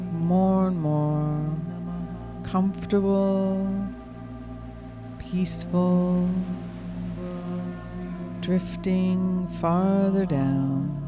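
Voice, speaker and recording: A woman leading a guided hypnosis meditation in a soothing, lulling, unhurried way. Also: on the low side. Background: music.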